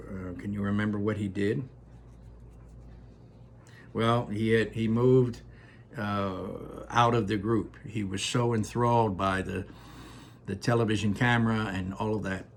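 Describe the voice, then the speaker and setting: A man's voice: fairly low pitch, gravelly, slight background noise. A man, a teacher, reading a children's book aloud and then chatting about it in a rambling, informal way. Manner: rambling and informal, full of fillers and restarts. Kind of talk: storybook read-aloud and chat. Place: room in his home without air conditioning.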